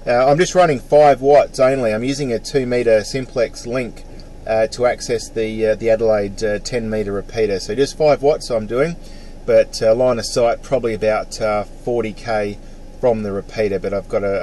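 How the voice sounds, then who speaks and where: A man's voice: on the low side, fairly even intonation, moderate pace, dark tone. Middle-aged Australian male radio hobbyist, casual matey on-air chat, faint background noise. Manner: mate-peppered, spells call signs phonetically. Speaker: amateur radio operator.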